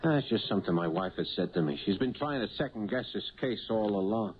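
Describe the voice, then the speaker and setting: Male, actor as humble taxi driver, low and very animated, earnest, halting, old radio play sound. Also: lightly acted. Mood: earnest, modest. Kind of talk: 1970s radio drama acting. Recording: tinny, hissy 1970s radio broadcast.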